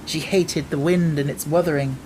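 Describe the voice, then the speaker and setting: A man reading an audiobook as narrator, telling the story in a measured, expressive way. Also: on the high side, a little hiss or hum, poor audio.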